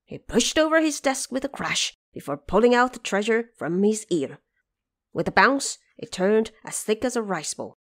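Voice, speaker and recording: A woman's voice: mid-range voice, big pitch swings, normal speed. A woman narrator telling an epic adventure story in a scripted, dramatic storytelling style.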